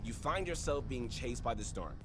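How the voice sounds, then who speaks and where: A man with a mid-pitched voice narrates a gaming guide over loud music. He speaks conversationally, mixing scripted tutorial lines with casual asides to the audience. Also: very animated, moderate pace. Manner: conversational, addresses viewers directly.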